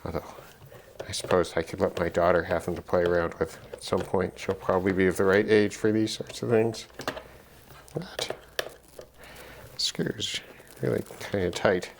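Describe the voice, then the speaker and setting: A middle-aged man giving unscripted commentary on an electronics teardown, halting and musing as he thinks aloud. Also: sits low, steady tone, vocal fry, a little hiss or hum. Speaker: electronics hobbyist presenter.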